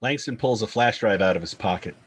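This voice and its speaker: A man's voice: fairly low pitch, lively intonation, brisk, husky. A man reading screenplay stage directions as scripted narration.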